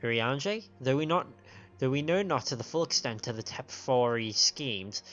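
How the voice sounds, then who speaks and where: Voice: mid-range voice, expressive, moderate pace, fairly bright tone. A game streamer with an androgynous voice, reading dialogue aloud and commenting live, often stumbling over the written lines. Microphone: microphone on a stand. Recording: dull lo-fi stream with game music. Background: game music.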